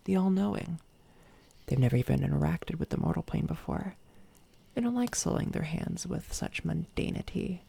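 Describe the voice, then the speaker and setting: A woman voicing a sinister, megalomaniac evil doppelganger in a soft-spoken ASMR roleplay, breathy and often whispering, with deliberate, grandiose, condescending delivery. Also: lowish voice, exaggerated voice. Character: sinister female evil doppelganger, coldly megalomaniac would-be ruler. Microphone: close binaural microphone.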